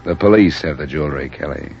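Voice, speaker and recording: An actor playing a hard-boiled insurance investigator in a 1950s radio drama, clipped and matter-of-fact. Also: male voice, rumbling low, fast, gravelly, darkish tone, a little hiss or hum. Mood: tense, probing. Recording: dull old radio transcription with music.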